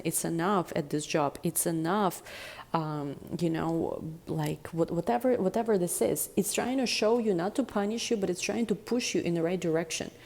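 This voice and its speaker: A woman giving a casual tarot reading in a deep, chesty voice, rambling and reflective with many fillers. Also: gravelly, a little mellow. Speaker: tarot reader.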